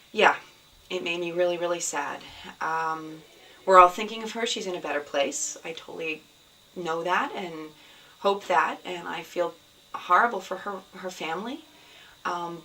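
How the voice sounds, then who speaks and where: A woman with a scratchy, hoarse voice from a cold, hosting a casual video podcast at home, rambling with many ums. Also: fairly low pitch. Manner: rambling, many ums, soft and sad in places. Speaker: podcast host. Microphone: camera. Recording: somewhat distant mic, faint background noise.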